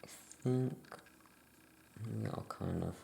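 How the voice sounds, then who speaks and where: A soft-spoken ASMR host murmuring thoughts aloud in halting short phrases, close to the microphone, while writing. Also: medium pitch, gravelly, slight background noise. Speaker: ASMR host playing a witch shopkeeper.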